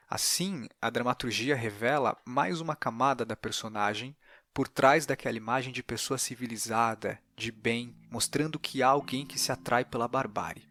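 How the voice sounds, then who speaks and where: A man's voice: mid-range voice, bright, forceful tone. A man with a Brazilian accent hosting an interview podcast, giving reflective, analytical commentary. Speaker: podcast host interviewing a playwright.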